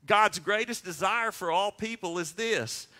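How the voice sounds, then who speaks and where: A man's voice: high-pitched, unhurried, pushed and bright. A man in his sixties preaching a sermon as pastor, speaking emphatically and posing questions that he answers himself. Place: church sanctuary.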